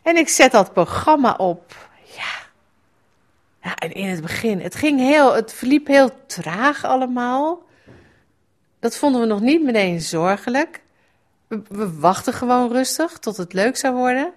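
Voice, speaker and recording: A woman's voice: a little high, animated, a little slow. Wry, reflective woman telling a memory. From Netherlands. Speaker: writer recounting a memory. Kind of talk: personal radio essay.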